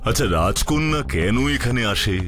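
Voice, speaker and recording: A man voicing a calm, commanding superhero detective in a children's cartoon, questioning in a measured, assured way. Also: mid-range voice, natural voice. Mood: inquisitive, assured.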